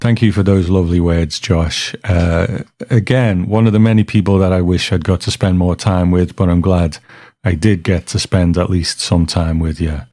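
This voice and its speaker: A man with a deep, smooth voice hosting a podcast, casually introducing feedback with gentle, hesitant phrasing. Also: fairly even intonation, moderate pace, no room sound, clean studio sound. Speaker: podcast host.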